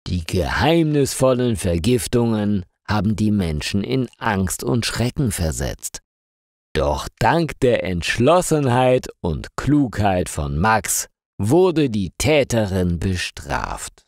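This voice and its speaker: Man, low-pitched voice, slow deliberate reading, storybook narration, dry close sound. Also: no echo. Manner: clear, deliberate reading with lively intonation. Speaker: story narrator for German learners.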